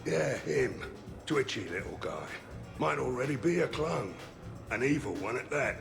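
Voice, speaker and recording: Grizzled male mercenary, heavy rasping creak, mid pitch, blunt, sardonic, video game, music underneath. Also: sing-song, measured pace. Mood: sardonic, grumbling. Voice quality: gravelly. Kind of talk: video game character acting.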